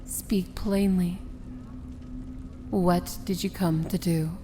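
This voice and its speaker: A woman's voice: on the low side, fairly even intonation, mellow, soft background music. A woman playing an imperious, amused princess of evil in a fantasy ASMR roleplay, speaking in a theatrical, sardonic manner. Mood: cool, probing. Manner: slow, theatrical, grandly sardonic.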